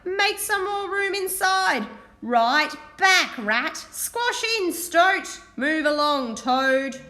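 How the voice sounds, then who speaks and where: A woman's voice: sits high, expressive, drawn-out words, pushed and bright, pitched above the performer's own voice, heavily acted. A woman voicing a bossy, impatient female bus driver in a children's storybook. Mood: bossy, urging.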